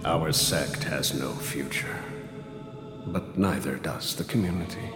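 An actor playing a sinister blind cult leader in a radio drama, speaking with grandiose, serene menace like a preacher of oblivion. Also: male voice, very deep voice. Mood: serenely ominous. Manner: grandiose, slow, sermonizing. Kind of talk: theatrical radio drama acting. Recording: echoing hall with long reverb, music behind.

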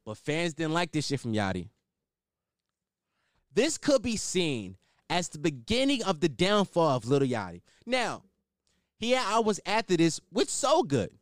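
A young man hosting a solo podcast, blunt and opinionated, swearing and riffing off the cuff, with a higher-pitched voice. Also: wildly swooping pitch, measured pace, dry recording, clean studio sound. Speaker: podcast host.

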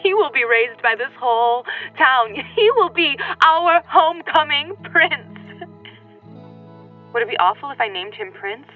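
A woman playing a tender, quirky, grieving pregnant young widow in a radio play, speaking intimately and haltingly. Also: high-pitched, animated. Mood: tender grief. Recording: slightly dull recording with music behind.

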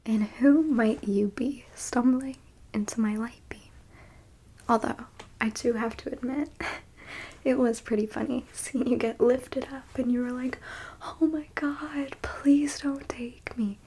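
ASMR roleplay alien captor, female, played by a woman: high-ish, unhurried, whispery, smug and teasing. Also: fairly even intonation, dark tone, heavily acted. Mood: amused, mocking. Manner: condescending, teasing, breathy half-whispered delivery. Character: smug, teasing female alien abductor examining a captured specimen.

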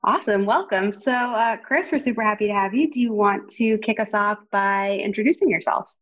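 A woman's voice: medium pitch, a little fast, fairly bright tone, lo-fi sound. A woman hosting a podcast, introducing guests in an upbeat, friendly way. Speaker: podcast host.

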